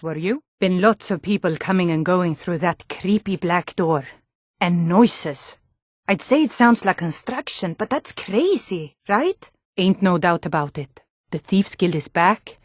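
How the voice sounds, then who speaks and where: A woman's voice: lowish voice, no echo, clean studio sound. A woman voice actor playing a tough, wary female Nord city guard in a fantasy video game, with blunt, colloquial delivery. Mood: conspiratorial, then scornful. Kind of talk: scripted fantasy game dialogue in short standalone lines.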